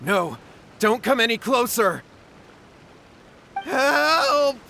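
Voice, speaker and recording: An actor playing a nervous, cowardly young villager in a video game, flustered and pleading. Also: male voice, extremely high pitch, very animated, extremely slow, creaky, sharp tone, slight background noise, heavily acted. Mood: terrified.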